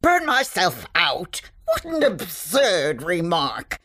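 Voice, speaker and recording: A woman's voice: lowish voice, huge pitch swings, slow, pushed and bright, full character voice. A woman playing a pompous storyteller with grandiose, flowery delivery in a scripted audio fantasy comedy. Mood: indignant. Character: pompous, theatrical female storyteller with flowery words.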